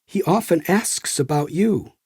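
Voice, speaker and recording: Man voicing a husky, enthusiastic American devotee. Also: fairly high pitch, a little slow, no room sound, clean studio sound. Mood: modest, admiring. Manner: warm, animated, admiring. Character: friendly, enthusiastic tall American devotee man recalling his guru. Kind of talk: acted dialogue in an audiobook.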